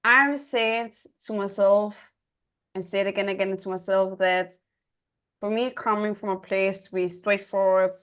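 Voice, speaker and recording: A woman from Denmark with a Danish accent, hosting a personal, reflective conversation in non-native English, haltingly searching for her words. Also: mid-range voice, steady tone, clean tone, muffled recording. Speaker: interview host.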